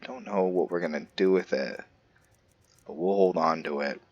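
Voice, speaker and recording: A man's voice: low voice, normal speed, muffled recording, a little hiss or hum. A man giving casual gameplay commentary, thinking aloud and musing to himself as he goes. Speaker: gameplay video host.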